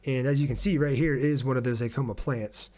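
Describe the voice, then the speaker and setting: A man's voice: medium pitch, fairly even intonation, fairly quick, dark tone. Casual male game streamer, chatting along. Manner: chatty, thinks aloud, reads messages out. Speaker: game streamer reading viewer chat. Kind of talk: casual live gameplay commentary.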